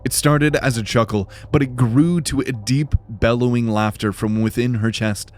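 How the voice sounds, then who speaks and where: A man's voice: mid-range voice, steady tone, normal speed. A man narrating fantasy fiction in a scripted, dramatic storytelling style. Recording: music behind the voice. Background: music.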